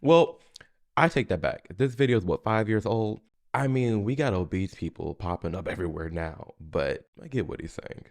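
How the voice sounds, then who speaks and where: A man's voice: on the low side, expressive, moderate pace. A man hosting a reaction video, commenting casually in colloquial words and chiming in to agree. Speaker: video host reacting to a clip.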